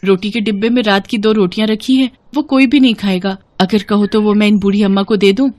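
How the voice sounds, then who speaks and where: A woman's voice: mid-range voice, fairly even intonation, a little fast, a little mellow, dry recording, lightly acted. A woman voicing a poor, kind village wife in a moral storybook tale, with an earnest, humble manner. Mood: meek, pleading. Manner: meek, earnest.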